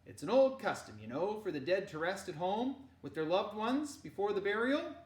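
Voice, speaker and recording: A woman voicing a genial, booming fisherman uncle in a storybook reading. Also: very animated, normal speed, darkish tone, lightly acted. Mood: gentle, grave. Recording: roomy echo.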